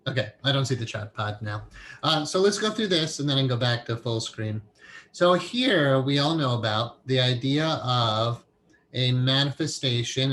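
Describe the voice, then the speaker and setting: A man teaching a meditation class online, explaining ideas in a relaxed, thinking-aloud way with fillers. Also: mid-range voice. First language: English.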